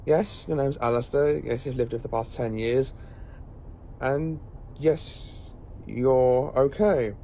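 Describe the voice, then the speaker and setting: Mellow, eccentric time-travelling professor played by a man. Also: mid-range voice, takes it slowly, a little hiss or hum. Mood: probing. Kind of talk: audio drama acting.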